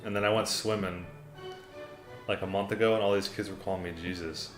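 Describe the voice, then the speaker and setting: A man's voice: low-pitched, steady tone, fairly quick. A young man from the United States hosting a casual livestream, reading chat messages aloud in a laid-back, rambling way and often calling people "man" and "dude.". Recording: roomy echo, classical music playing behind.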